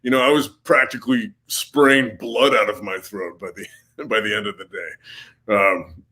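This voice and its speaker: A man guests on an interview podcast, talking casually about his work. His voice runs somewhat low. He jokes easily and often makes light of himself. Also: steady tone, measured pace, pushed and bright. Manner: self-deprecating, jokey. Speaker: comic book writer, guest.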